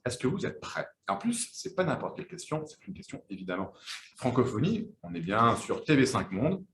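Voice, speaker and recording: A man hosting a live quiz, lively and chatty, slowing down to build suspense. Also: medium pitch, echoey room, a little hiss or hum. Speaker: quiz show host.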